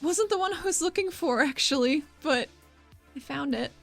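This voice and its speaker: Higher-pitched playful woman roleplaying. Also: expressive, moderate pace, gravelly, fairly bright tone, soft background music. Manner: playful, breezy. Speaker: player in a tabletop roleplaying game. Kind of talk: casual improvised roleplay and narration.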